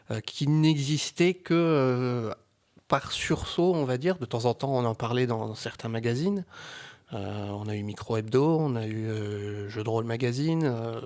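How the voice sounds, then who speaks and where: Male podcast guest, mid-range voice, conversational and halting, repeats himself while working out his point. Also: medium pitch, darkish tone. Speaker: guest, amateur audio fiction creator. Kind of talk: casual podcast discussion.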